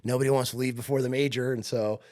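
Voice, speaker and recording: A man's voice: mid-range voice, fairly even intonation, quick pace, a little mellow. A man in his late thirties from upstate New York, telling stories as a podcast guest in a laid-back way, swearing and saying "dude" and "like" a lot. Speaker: podcast guest, Marine veteran. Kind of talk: casual interview storytelling.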